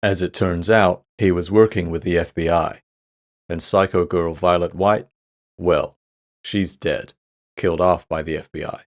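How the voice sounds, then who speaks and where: A man's voice: low-pitched, steady tone, unhurried. A man playing a cold, middle-aged crime syndicate boss in an audio drama, with a calculated, menacing manner. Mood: cold, calculating.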